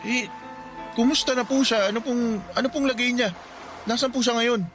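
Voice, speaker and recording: A young actor playing a dutiful eldest son just out of high school, polite and anxious, in a radio drama. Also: male voice, fairly even intonation, moderate pace, a bit bright, soft background music. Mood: alarmed. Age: young adult.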